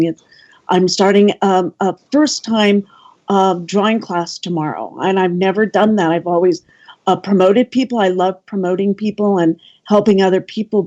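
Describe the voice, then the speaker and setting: A woman guest on a radio panel, talking casually with many pauses and ums. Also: medium pitch, darkish tone, lo-fi sound. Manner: hesitant, many ums, laughs often.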